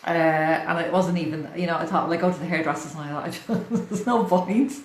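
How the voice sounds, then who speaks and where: A woman's voice: lowish voice, fairly quick. A woman in her forties with an Irish accent, hosting a casual livestream, chatty and laughing often. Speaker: host. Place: hot room in a house. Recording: echoey room with faint fan noise. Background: fan running.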